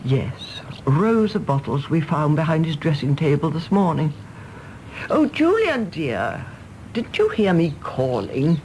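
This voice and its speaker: A woman's voice: low-pitched, big pitch swings, darkish tone, slight background noise. An older woman with an English accent playing a bossy, fussing mother of a grown son in a radio drama, imperious and chiding. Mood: fretful. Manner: imperious, chiding, brisk with endearments. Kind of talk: stage-play radio drama acting.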